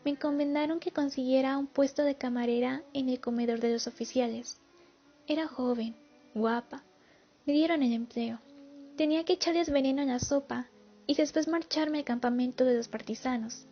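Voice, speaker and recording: Muffled, with faint music, a woman acts out a haunted older female war veteran in a radio play. Her high, husky voice gives testimony in a confessional, pleading manner. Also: steady tone, moderate pace.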